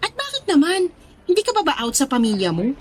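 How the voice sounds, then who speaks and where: A woman with a Filipino accent playing a young, teasing, curious best friend in an audio drama, speaking expressive casual slang. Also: sits high, quick pace.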